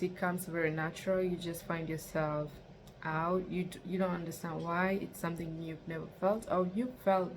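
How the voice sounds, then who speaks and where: Young woman from kenya rambling to her vlog camera, lowish voice, bit of hiss behind. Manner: rambling, many fillers. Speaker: vlogger. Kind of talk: casual talk to camera.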